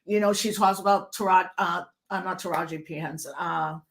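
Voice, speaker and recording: A woman's voice: on the low side, fairly even intonation, a little fast, background noise. Affirming woman host, casual interview. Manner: affirming, conversational, clears throat often.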